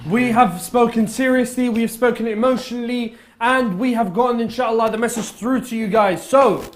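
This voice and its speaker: A man's voice: very high voice, fairly even intonation, normal speed. A man hosting a live charity broadcast, upbeat and teasing as he announces questions and prizes. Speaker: host. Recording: music in the background.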